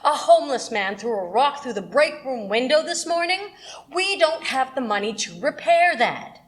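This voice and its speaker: A woman playing a bitter, overworked radio station manager in a radio play, domineering and sharp-tongued. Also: high voice, animated, moderate pace. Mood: exasperated.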